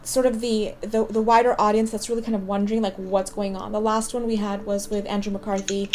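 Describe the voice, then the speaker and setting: A woman's voice: mid-range voice, little pitch movement, brisk, a little mellow, a little hiss or hum. A woman hosting an interview, asking long, rambling questions. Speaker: interviewer, host.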